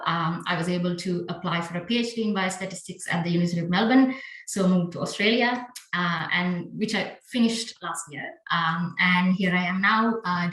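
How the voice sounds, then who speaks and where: A woman's voice: fairly low pitch, fairly bright tone, reverberant, rough recording. A young woman from Sri Lanka, a biostatistician, giving a friendly talk with slides and many fillers. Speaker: conference speaker, biostatistician.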